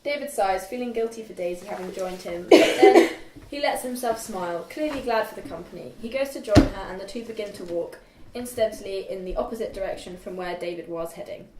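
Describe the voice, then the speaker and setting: A young woman reading screen directions as narrator at a script table read, halting and stumbling a little over the text. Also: medium pitch, a crowd in the background. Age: young adult. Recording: echoey room.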